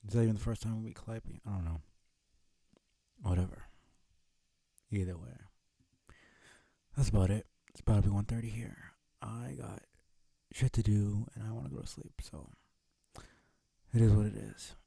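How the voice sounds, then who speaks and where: Man, livestream host, very mellow dark tone, dry close sound, muttered casual chat, laid-back. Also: fairly low pitch, steady tone, moderate pace, creaky, long pauses, husky, dry recording.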